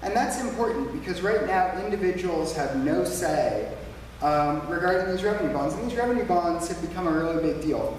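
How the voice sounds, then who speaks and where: Man, debate speaker, projects a big voice, fairly high-pitched, echoey hall, heard from far off. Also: slight background noise. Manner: emphatic, plain-spoken, repeats slogans. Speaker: debate speaker for a taxpayers' group. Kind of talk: public debate speech. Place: small church meeting room.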